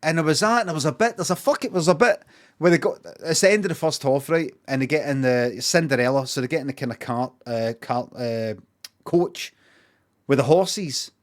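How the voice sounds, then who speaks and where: A man's voice: on the high side, a little fast. A Glaswegian Scottish man chatting casually on a livestream, rambling, swearing freely and repeating himself for emphasis.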